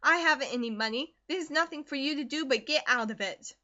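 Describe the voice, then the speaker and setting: A woman putting on a loud scolding farm mother. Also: fairly high pitch, lively intonation, moderate pace, dull audio.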